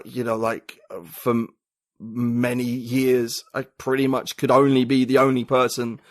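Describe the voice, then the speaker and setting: A man's voice: medium pitch, no echo. Rambling man with a dry sound. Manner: rambling, full of "like" and "you know". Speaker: podcast guest. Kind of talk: casual conversation.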